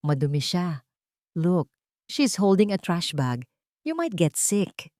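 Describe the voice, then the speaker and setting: A woman's voice: fairly low pitch, big pitch swings, takes it slowly, a little mellow, dry recording, studio quality. A woman voicing a haughty young wife and mother in an audio drama, mixing English and Tagalog with calculated control. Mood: cold, stern. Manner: cold and hard, or sugary, mixing English and Tagalog.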